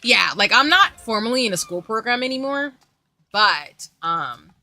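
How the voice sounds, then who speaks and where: A woman's voice: a little high, expressive, normal speed, pushed and bright, soft background music. A young adult woman streaming a game, rambling casually to her viewers with lots of asides and fillers. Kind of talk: casual chat with stream viewers while gaming.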